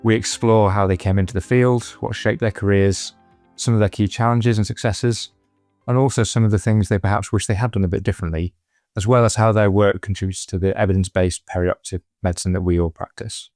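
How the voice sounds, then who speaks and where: A man hosting a medical podcast, introducing his guest and asking brisk, friendly questions. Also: lowish voice, steady tone, a little mellow, dry recording, faint music behind. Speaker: podcast host. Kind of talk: podcast interview.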